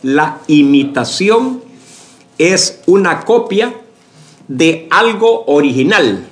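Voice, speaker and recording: A pastor preaching a sermon to his congregation, speaking slowly with many fillers and restarts, often asking for agreement. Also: fairly high pitch, big pitch swings. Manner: addresses the congregation as brothers, asks for agreement.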